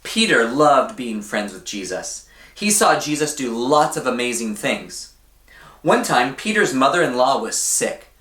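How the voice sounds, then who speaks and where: Animated, slow-paced man reading to children. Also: mid-range voice, reverberant. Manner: deliberate, expressive, asks the listeners questions. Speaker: church storybook reader for children. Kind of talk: animated read-aloud storytelling for children.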